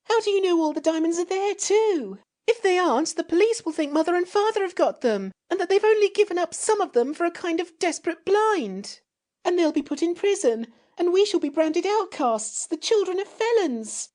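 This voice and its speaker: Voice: very high voice, animated, moderate pace, a little mellow, voice pushed up. A reader voicing an earnest young girl who speaks dramatically and pleads breathlessly. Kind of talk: storybook reading.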